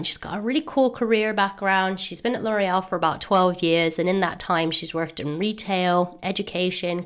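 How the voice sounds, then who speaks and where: A woman from the United States with a mixed American and Irish-sounding accent, hosting a podcast interview with an enthusiastic, bubbly manner. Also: on the low side. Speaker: podcast host.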